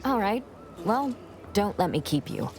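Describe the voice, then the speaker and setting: An actress playing an overworked medic in a video game, dry, clinical and matter-of-fact. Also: female voice, medium pitch, sing-song, unhurried, over music. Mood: dry, weary. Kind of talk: video game character dialogue.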